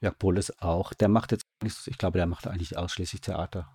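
A man talks casually as an interview guest, his voice low and rough with creak. He feels his way through each explanation, pausing and rephrasing as he thinks aloud. The sound is close and dry. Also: steady tone, a little mellow, no room sound. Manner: halting, searching for words, thinking aloud. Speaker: radio drama director, interview guest.

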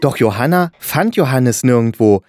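A man's voice: mid-range voice, lively intonation, moderate pace, darkish tone. A man narrating a children's fairy tale in a patient, explanatory way.

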